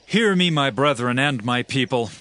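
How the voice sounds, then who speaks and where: An actor playing a solemn, commanding aging king who addresses his people in measured, emphatic scripture oratory. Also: medium pitch, animated, bright, forceful tone, no echo. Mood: solemn, proclaiming. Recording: voice over prominent music bed. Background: background music.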